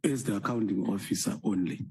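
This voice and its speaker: A man's voice: fairly low pitch, fairly quick, darkish tone, husky. A man from Zimbabwe, a college principal answering questions at a formal hearing in short, guarded, hesitant replies. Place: parliamentary committee room.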